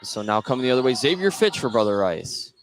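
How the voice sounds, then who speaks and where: An American man works as a radio play-by-play announcer, calling live basketball from inside a gym. His voice sits at an average pitch, with faint ambient noise around it. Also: very animated, quick pace, rough recording. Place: indoor high school gymnasium.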